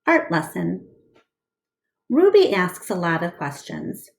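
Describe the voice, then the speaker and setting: Expressive, lowish-voiced woman reading aloud. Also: slow, gravelly. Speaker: teacher reading aloud to a class. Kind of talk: expressive storybook read-aloud narration.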